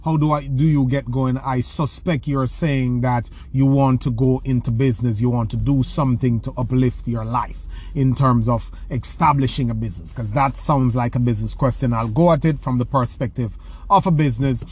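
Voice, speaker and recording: A man's voice: medium pitch, steady tone, normal speed, darkish tone. Jamaican man, rural-raised, mid-range voice; motivational speaker who rambles and repeats himself; faint room noise behind. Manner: rambling, with many repeated words and restarts. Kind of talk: motivational talk answering a question.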